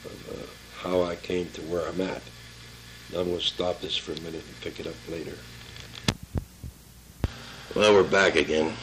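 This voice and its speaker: An elderly American man in his seventies or older reminiscing informally about his life, halting and plain-spoken as he searches his memory. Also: deep voice, slight background noise, poor audio. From Pittsburgh area, Pennsylvania, United States. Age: 70s or older. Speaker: family member recording his life story for a relative.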